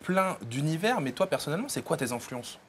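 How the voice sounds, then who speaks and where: A young man co-hosting a TV music show, playful and quick to laugh while he asks questions. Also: a little high, very animated, fairly bright tone, a little hiss or hum. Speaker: TV co-host. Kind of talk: presenting and interviewing. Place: TV studio set.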